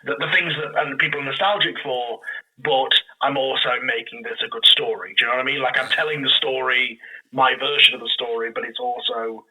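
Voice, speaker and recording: A man talking casually as an interview guest on a podcast, animated and acting out his own reactions as he explains his creative work. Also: medium pitch, fairly quick, breathy edge, poor audio.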